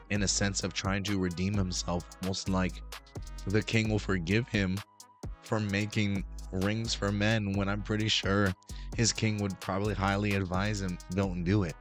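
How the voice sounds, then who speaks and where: Guy with a worn-out hoarse voice, real low, rambly review chat, faint music under it. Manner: rambling, with fillers and restarts. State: hoarse, recovering from losing his voice. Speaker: show host and reviewer. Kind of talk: casual review talk to camera.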